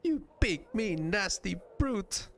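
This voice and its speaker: A man's voice: high voice, animated, takes it slowly, slight background noise, pitched above the performer's own voice, heavily acted. A man voicing a tiny, timid, too-nice young woman from a game, with a hesitant, gushing manner. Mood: tentative scolding. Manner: hesitant, gushing, exaggerated when scolding.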